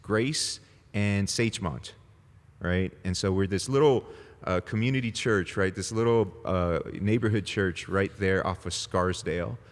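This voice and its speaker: A deep-voiced man in his forties from El Salvador, whose first language is Spanish, talking as a pastor guest. He is chatty and easygoing, full of fillers and laughing asides. His voice rings with a long echo in the room. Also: a little slow. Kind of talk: casual interview conversation. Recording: long reverb, echoey room.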